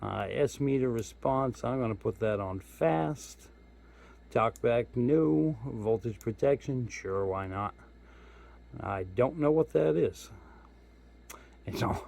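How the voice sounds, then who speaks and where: A man's voice: mid-range voice, slow, vocal fry, darkish tone. A man giving a casual hands-on product walkthrough as a hobbyist reviewer, informal and thinking aloud as he goes. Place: indoors at home.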